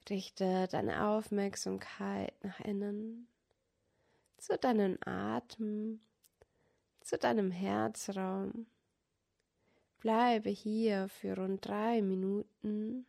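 A woman guiding a yoga meditation as a teacher, speaking softly and calmly with long pauses between instructions. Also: medium pitch, gravelly. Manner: soft, calm, soothing, with long pauses. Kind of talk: guided yoga nidra meditation.

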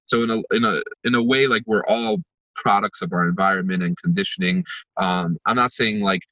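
A man's voice: fairly low pitch, lively intonation, brisk, pushed and bright, no room sound, low-quality recording. A young man, a workshop facilitator, talking reflectively in an interview with many fillers and restarts. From born in Israel, raised in New Jersey and New York. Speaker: empathy workshop facilitator, podcast guest. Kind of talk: conversational interview.

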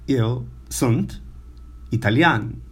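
Non-native male language tutor, mid pitch, slow and hesitant, repeats words for learners. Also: expressive, husky. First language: not English. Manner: slow, halting, many fillers and repetitions. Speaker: language teacher. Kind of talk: informal language lesson.

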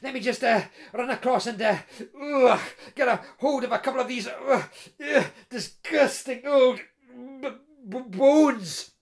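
A woman's voice: a little high, a little slow, voice pushed up. A woman voicing a timid poor young man who stammers and hesitates. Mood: flustered.

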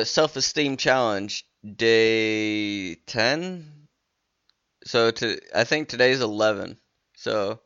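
A man's voice: mid-range voice, a bit bright, clear, resonant tone, muffled recording. A man hosting a casual solo podcast, rambling with many restarts and fillers while he is losing his voice. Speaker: podcast host.

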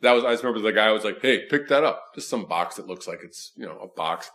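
A man with a naturally deep, low voice in easygoing conversation. He tells rambling, self-deprecating stories and often stammers and restarts his sentences. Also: expressive, quick pace, fairly bright tone. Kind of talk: casual conversation.

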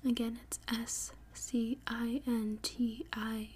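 Slow, breathy whispering woman. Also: on the high side, little pitch movement. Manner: soft, unhurried, repeats and spells words slowly. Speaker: podcast host. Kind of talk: whispered ASMR word reading for sleep.

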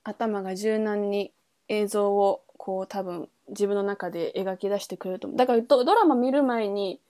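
A woman's voice: mid-range voice, moderate pace, mellow. A woman podcast host in a casual book chat, thinking aloud in trailing, loosely linked phrases. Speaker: podcast host reviewing a novel.

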